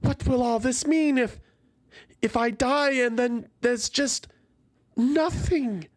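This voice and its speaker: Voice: mid-range voice, slow pace, voice pushed up, close to an everyday voice. A narrator voicing an anguished young feathered warrior woman in a fantasy audio story, impassioned and pleading, full of despair and self-loathing. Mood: grieving.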